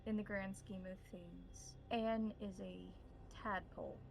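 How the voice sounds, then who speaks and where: A woman speaking as game master in measured, formal phrases, improvising roleplay and narration. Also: medium pitch, steady tone, creaky, slight background noise.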